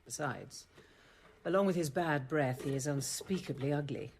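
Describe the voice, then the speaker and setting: An actress playing a composed, dryly witty convent apothecary nun in a radio drama, measured and articulate. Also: female voice, low-pitched, a little mellow, a little hiss or hum. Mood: wry, composed. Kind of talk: radio drama acting and narration.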